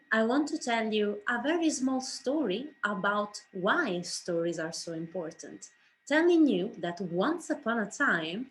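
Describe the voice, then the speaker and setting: A woman's voice: medium pitch, rough recording. A woman speaking English as a second language, telling a story expressively to an audience in a theatrical way. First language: not English. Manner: theatrical, acting out dialogue. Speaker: speaker, writer and director. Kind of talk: expressive oral storytelling in a presentation.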